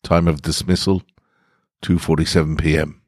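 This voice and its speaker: A man's voice: a bass voice, level tone, moderate pace, no room sound, studio quality. A man voicing a towering, solemn archangel who speaks in grave, formal, commanding pronouncements for a story.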